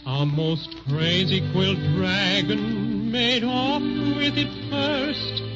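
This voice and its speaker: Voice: mid-range voice, extremely slow. An actor voicing a plucky little toy bear, reciting rhymed verse in a sing-song 1930s radio style. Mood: sing-song, earnest. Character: plucky little male toy teddy bear with shoe-button eyes. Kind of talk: 1930s radio musical storytelling. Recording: muffled 1930s radio transcription. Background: music.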